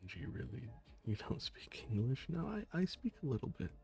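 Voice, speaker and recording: A man streaming a game and chatting with viewers in a low-key, joking way, sometimes dropping to a whisper, close to the mic. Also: very animated, normal speed, warm tone, soft background music. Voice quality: gravelly. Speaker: game streamer reading chat. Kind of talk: casual gaming stream chat.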